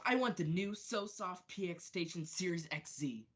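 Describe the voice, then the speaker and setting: A woman's voice: on the low side, animated, normal speed, breathy edge. A woman playing a bratty, demanding young boy in a comic radio sketch, whiny and insistent.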